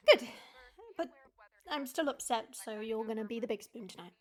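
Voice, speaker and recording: High-voiced worried young wife, acted by a woman. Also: normal speed, natural voice. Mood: teasing. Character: worried, stern yet teasing wife and young mother. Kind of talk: audio roleplay acting.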